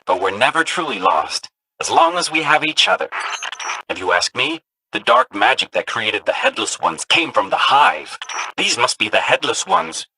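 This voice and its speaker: A man's voice: mid-range voice, very animated, normal speed, gravelly, pushed and bright, slight background noise, exaggerated voice, rough recording. An actor voicing an eager, chatty male ghost companion robot in a video game, a curious storyteller of spooky legends. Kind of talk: game voice lines.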